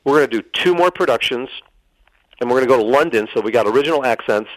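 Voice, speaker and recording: Middle-aged male interview guest, mid-pitched voice, animated earnest storyteller who repeats phrases for emphasis and acts out dialogue. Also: fast, no echo, low-quality recording.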